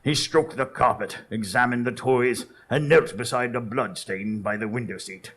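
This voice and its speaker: An actor playing an earnest Victorian doctor who narrates dramatically in a radio drama, with a gravelly, husky voice. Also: male voice, medium pitch, normal speed, fairly bright tone. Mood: solemn narration.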